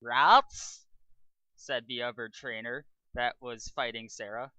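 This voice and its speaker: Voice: medium pitch, level tone, moderate pace, sharp tone, dry recording. A narrator giving an amateur dramatic reading of fan fiction.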